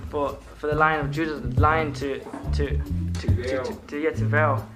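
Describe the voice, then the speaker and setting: A man's voice: on the high side, steady tone, normal speed. A man giving an earnest personal statement in simple, sincere phrasing. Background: guitar music.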